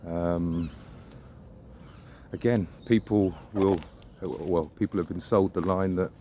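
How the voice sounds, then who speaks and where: A man's voice: deep voice, fairly even intonation, takes it slowly, mellow. A man talking casually and musingly as he walks outdoors, opinionated and mildly sweary. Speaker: vlogger. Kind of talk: casual rambling walk-and-talk monologue. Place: outdoors, walking suburban riverside streets. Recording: outdoor background noise. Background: outdoor ambience.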